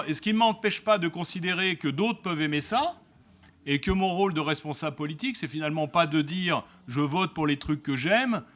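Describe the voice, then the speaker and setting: A man's voice: a little high, a bit bright. Male councillor, sarcastic rebuttal, emphatic with restarts. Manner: combative, sarcastic and indignant. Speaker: local elected official. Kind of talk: council debate speech.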